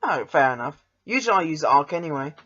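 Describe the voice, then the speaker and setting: A man's voice: a little high, very animated, quick pace, a bit bright, highs cut off. A young man with an English accent, chatting casually as a podcast host in a hesitant, self-deprecating way.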